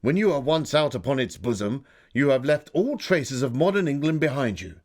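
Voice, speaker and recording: Victorian gentleman diarist, male, acted, earnest and solemn. Medium register, dramatic literary narration. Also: mid-range voice, expressive, normal speed, lightly acted. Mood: awed, reflective. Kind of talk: dramatic audiobook-like diary reading.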